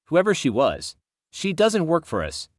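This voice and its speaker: Male narrator as composed woman manager in story dialogue, matter-of-fact and deadpan, dry sound. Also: fairly high pitch, a little slow, no echo, voice pushed up. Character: composed, unbothered female store manager.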